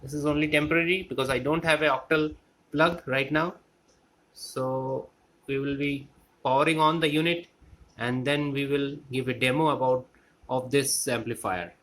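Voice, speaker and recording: A man giving an unscripted technical walkthrough as a hobbyist, explaining step by step in a halting way and repeating phrases. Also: medium pitch, fairly even intonation, fairly bright tone. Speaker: electronics hobbyist demonstrating a restored amplifier. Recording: clear background noise with clicks.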